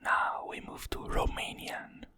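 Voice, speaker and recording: Slow soft ASMR whisperer from Portugal. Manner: soft whispering, slowly repeating each phrase. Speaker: ASMR creator.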